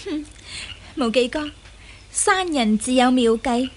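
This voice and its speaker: A woman's voice: high voice, animated, slow pace, a little hiss or hum. A woman playing a sly, commanding young woman in a Hong Kong Cantonese radio drama, imperious, teasing and playfully scornful. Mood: sly, amused. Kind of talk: 1980s radio drama acting.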